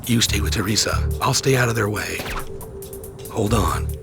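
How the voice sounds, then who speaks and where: An actor playing a determined, terse and serious agent on the run in a video game cutscene. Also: male voice, on the low side, animated, normal speed, vocal fry. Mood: focused. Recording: music behind the voice.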